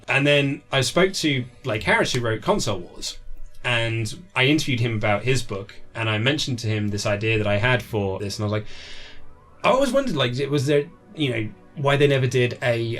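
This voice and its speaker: Man, rapid speech, casual interview guest, anecdotal, voices remembered dialogue, faint music underneath. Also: medium pitch, fairly bright tone. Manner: chatty, acts out remembered dialogue in his stories. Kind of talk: casual conversational anecdote telling.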